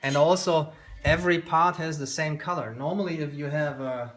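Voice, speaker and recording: A man's voice: fairly high pitch, normal speed, fairly bright tone. A man in his thirties with a German accent, explaining his work informally on a workshop tour, often searching for words. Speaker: company founder giving a workshop tour. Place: small workshop room, indoors. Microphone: handheld camera a couple of metres away. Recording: roomy handheld video, background noise, clicks.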